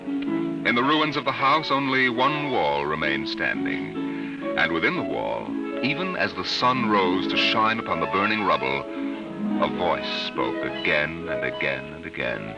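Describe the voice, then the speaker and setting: An actor narrating science fiction tales in a solemn, theatrical 1950s radio drama style. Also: male voice, sits low, sing-song, a little slow, vocal fry, lo-fi sound, exaggerated voice. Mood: grave. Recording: old radio recording with music underneath.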